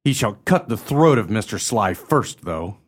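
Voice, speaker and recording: A man acting a gallant, jesting military officer in a radio play, husky-voiced, courtly and wry. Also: on the low side, lively intonation, unhurried. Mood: scornful.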